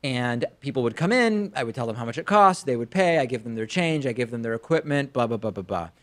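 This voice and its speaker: American male talk show host, medium pitch. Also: animated, fast. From Argentina, moved to the United States at five. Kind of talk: conversational storytelling and commentary.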